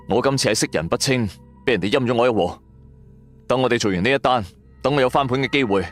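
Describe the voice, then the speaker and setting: A man narrating a scripted first-person audio drama in a colloquial, suspenseful storytelling manner. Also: medium pitch.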